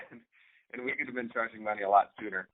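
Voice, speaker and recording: A man co-hosting an informal instructional talk as a business coach, speaking haltingly with repeated words and frequent "right?" check-ins. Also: fairly low pitch. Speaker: co-host, online business coach. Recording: thin, phone-like band-limited sound.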